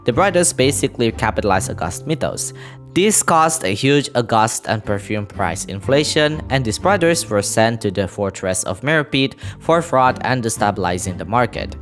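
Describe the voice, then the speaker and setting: A man narrating a scripted game story recap as a video creator, dropping in casual asides. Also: mid-range voice.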